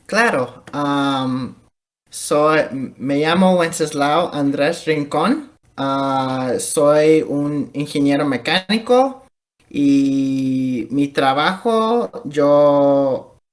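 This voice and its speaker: A man from the United States talks as a guest on a podcast. His delivery is extremely slow and halting. He searches for words, filling gaps with English-like hesitation sounds. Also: fairly high pitch. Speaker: podcast guest, mechanical engineer.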